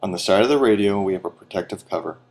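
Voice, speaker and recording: A man's voice: fairly low pitch, fast. A man reading scripted instructional narration in a plain, step-by-step, procedural manner.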